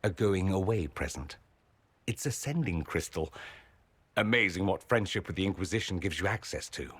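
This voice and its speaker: Witty, vain low-voiced mage nobleman. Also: animated, moderate pace, slightly rough, no echo. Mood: wry, fond. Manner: theatrical, arch, flippant. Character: witty, vain, sardonic male mage nobleman with hidden warmth. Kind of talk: dramatic video game dialogue.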